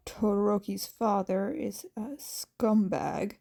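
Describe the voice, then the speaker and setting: A woman voicing a gruff, weary male hero and guardian, grumbling tersely in a story reading. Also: medium pitch, slow pace, mellow.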